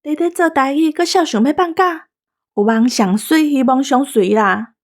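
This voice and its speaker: High-pitched Taiwanese female co-host. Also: lively intonation, normal speed. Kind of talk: bantering show talk.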